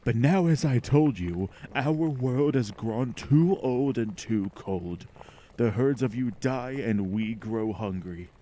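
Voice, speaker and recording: A man's voice: mid-range voice, expressive, takes it slowly, mellow, slight background noise, rough recording. A man playing a cold, hungry alien monster in a horror radio drama, commanding and hypnotic with contempt for humans. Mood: contemptuous, gloating. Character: cold, hungry alien monster, ancient and menacing male predator. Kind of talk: old-time horror radio drama acting.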